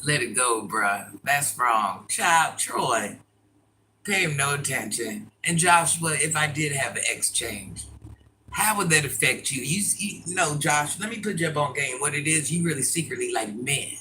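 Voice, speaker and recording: A middle-aged woman with a deep voice, chatting casually and bluntly as she answers viewer comments in a livestream. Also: animated, a little slow, sharp tone, slight background noise, rough recording. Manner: blunt, sassy, talks straight to commenters.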